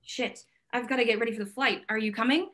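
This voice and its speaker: Woman playing an eager, smitten young woman, higher voice. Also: fairly even intonation, fast, fairly bright tone, natural voice. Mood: warm, excited. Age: young adult. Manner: casual, gushing, candid. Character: eager, smitten, blunt modern woman gallery owner. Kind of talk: theatrical staged reading. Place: indoor room at home, video call.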